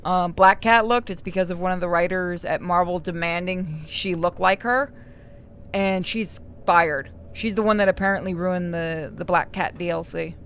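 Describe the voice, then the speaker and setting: A woman streaming a game and chatting casually with friends, blunt and quick to swear. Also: lowish voice, fairly even intonation, a bit bright, slight background noise. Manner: blunt, profane, gossipy. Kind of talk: casual gaming stream chat.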